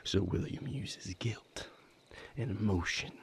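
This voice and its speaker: A man playing a bitter, wounded young man in an intimate audio roleplay, speaking haltingly in a breathy, gravelly murmur with long pauses. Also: fairly low pitch, darkish tone, in a whisper, heavily acted. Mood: resentful, brooding. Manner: halting, confessional, broken phrases with long pauses. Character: bitter, wounded young man breaking from a manipulative vampire patriarch.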